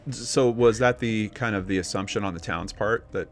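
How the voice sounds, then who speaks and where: A man co-hosting a casual discussion, reasoning aloud in a skeptical, measured manner. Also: lowish voice, soft background music. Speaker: podcast co-host.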